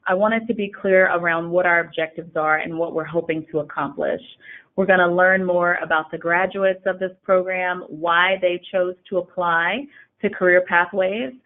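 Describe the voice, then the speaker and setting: A woman hosting a semi-scripted webinar, introducing and questioning guests as a programme director. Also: fairly low pitch. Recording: thin phone-like line.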